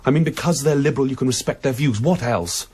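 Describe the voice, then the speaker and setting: An actor playing an earnest, defensive grown son in a radio drama, hesitant and tactful. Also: male voice, medium pitch, quick pace, background noise, lightly acted. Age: young adult. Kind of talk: broadcast radio drama acting.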